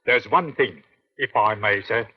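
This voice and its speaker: An actor playing a dutiful British police subordinate in an old-time radio crime drama, reporting in a deferential, clipped, keen way. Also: male voice, on the low side, measured pace, husky. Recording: dull, lo-fi vintage radio recording.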